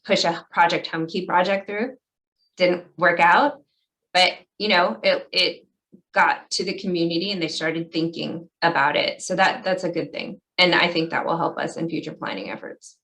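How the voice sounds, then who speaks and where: A woman's voice: lowish voice, fairly even intonation, normal speed, bright, forceful tone. A woman speaking informally and candidly as a city official chairing a conference call.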